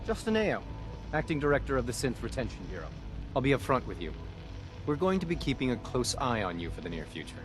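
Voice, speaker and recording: A man's voice: medium pitch, sing-song, quick pace, music in the background, natural voice. An actor playing a suspicious, condescending male security director with a clipped, officious manner in a video game. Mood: cold, suspicious. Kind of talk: video game dialogue acting.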